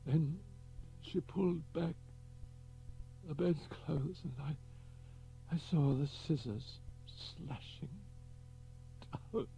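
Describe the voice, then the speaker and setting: Dying older man, voiced by the narrator, stammering, higher and rough-edged. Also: warm tone, highs cut off, soft background music. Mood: broken, grieving. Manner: halting, stammering speech.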